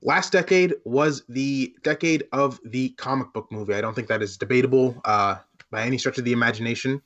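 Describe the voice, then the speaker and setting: A man's voice: medium pitch, fairly quick, a bit bright. Man hosting a casual podcast, slightly dull sound. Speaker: podcast host.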